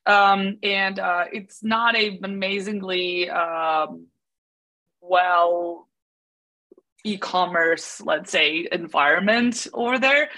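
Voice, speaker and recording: Woman, Serbian accent, bright tone, chatty podcast guest, lots of fillers. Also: fairly low pitch, slow, low-quality recording. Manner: talkative, earnest, many fillers like "you know" and "like". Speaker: podcast guest, business owner. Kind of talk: casual interview talk.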